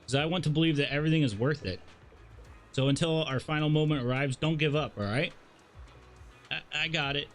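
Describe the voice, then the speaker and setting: Music plays faintly behind a man with a slightly high voice. He is a game streamer who reads story text aloud in a casual way, tripping over a word now and then. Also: brisk, pauses often. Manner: stumbles while reading, swears casually. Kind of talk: livestream read-aloud of game dialogue with casual asides.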